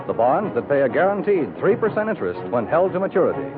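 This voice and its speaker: Music plays behind a male American announcer on a thin, old radio recording. His scripted delivery swoops widely in pitch, in classic fifties broadcast style. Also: big pitch swings, quick pace. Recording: narrow-band 1950s radio recording.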